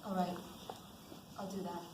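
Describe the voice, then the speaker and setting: A woman's voice: on the low side, little pitch movement, unhurried, close to an everyday voice. A young woman acting in a student stage drama as a dutiful, pragmatic army lieutenant, formal and earnest. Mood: somber. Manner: formal, earnest military delivery. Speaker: student actor. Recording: echoey stage with background noise.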